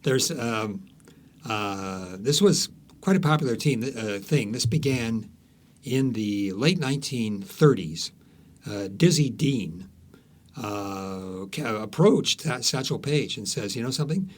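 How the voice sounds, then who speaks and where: A man's voice: medium pitch, expressive, slow pace. A man speaking as an author being interviewed, telling stories conversationally with frequent false starts and repeated words.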